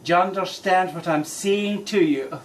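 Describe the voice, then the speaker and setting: A woman's voice: on the low side, moderate pace, natural voice. A woman playing an exasperated male bank manager, stammering and fussy, in broad comic stage style. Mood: strained politeness. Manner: stammering, fussy, increasingly agitated. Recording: distant mic, faint background noise.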